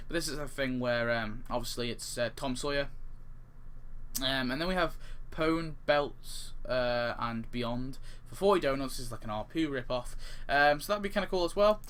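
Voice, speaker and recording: A man's voice: mid-range voice, normal speed, a bit bright, clear, resonant tone. A man giving casual, off-the-cuff gaming commentary in a rambling way, often correcting himself mid-sentence. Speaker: gaming video creator. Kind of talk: casual off-the-cuff commentary on game update ideas.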